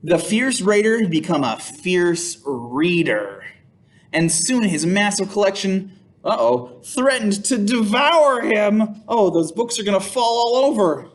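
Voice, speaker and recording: A man reads a children's story in a high, very lively voice. His pace is unhurried and theatrical, with drawn-out emphasis. He breaks off for playful, exclaiming asides. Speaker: children's storytime host reading a picture book aloud. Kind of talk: expressive children's read-aloud with playful asides.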